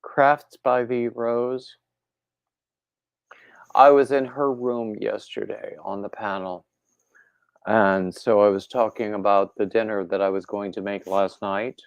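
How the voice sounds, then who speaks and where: Male stream host, unhurried and rambling, gravelly lower voice, a bit congested, dull home-mic sound. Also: no echo. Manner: rambling, relaxed, reads viewer comments aloud. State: slightly congested throat. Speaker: livestream host. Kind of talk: casual chat with live viewers.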